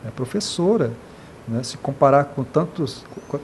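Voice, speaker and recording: Impassioned Brazilian man, halting and earnest. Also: medium pitch, expressive, darkish tone, slight background noise. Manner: impassioned, many hesitations and repeated "né". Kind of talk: earnest interview talk.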